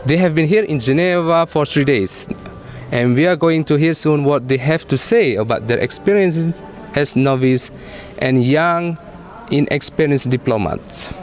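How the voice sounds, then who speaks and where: A man speaking as an interviewer, asking questions in stiff, formal, halting English. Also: on the high side, faint music behind. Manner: formal, halting non-native phrasing. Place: conference venue.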